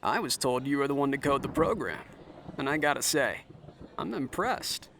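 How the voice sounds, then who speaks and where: An actor playing a charming, self-assured tech executive in an audio drama, talking like a smooth, formal salesman. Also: mid-range voice, expressive, moderate pace, noisy background, natural voice. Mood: self-assured, flattering.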